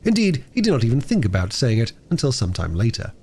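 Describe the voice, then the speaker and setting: A man reads a children's storybook aloud. His mid-pitched voice swings widely and expressively as he narrates. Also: wildly swooping pitch, fast, a little mellow, breathy edge. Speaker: audiobook reader.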